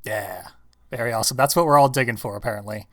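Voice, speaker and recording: A man of middle pitch hosting a casual podcast. He keeps things laid-back and throws in wry, dry quips. The recording is close and dry. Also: quick pace, a bit bright, dry recording. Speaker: podcast host.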